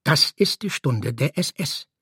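A man's voice: fairly high pitch, expressive, unhurried, bright, forceful tone, breathy edge, no room sound, clean studio sound. A man giving a dramatic, formal literary reading of a bureaucratic text, with clipped, emphatic phrasing.

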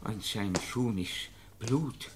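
An actor playing an eager, deferential master shoemaker in a period radio drama, stammering and butting in obsequiously. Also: male voice, fairly low pitch, unhurried, music in the background, lightly acted. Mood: timid, eager.

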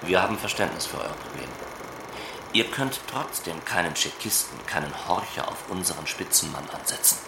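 A man's voice: low voice, lively intonation, moderate pace, creaky. A man playing a wary, reproachful Soviet KGB officer in a dramatised dialogue, questioning tersely. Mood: stern, guarded. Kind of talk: dramatised reading of a literary dialogue. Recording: roomy sound with loud ambient noise.

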